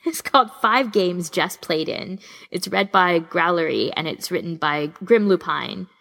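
A woman podcast co-host chatting casually in an informal, animated way, wandering into tangents as she gives recommendations. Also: lowish voice, a little slow, gravelly. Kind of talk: casual chat, recommending audio fan fiction.

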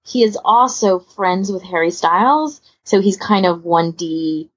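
A woman co-hosting a podcast, chatting casually in a husky, gravelly voice. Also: lowish voice, animated, unhurried, dull audio. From United Kingdom. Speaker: podcast host.

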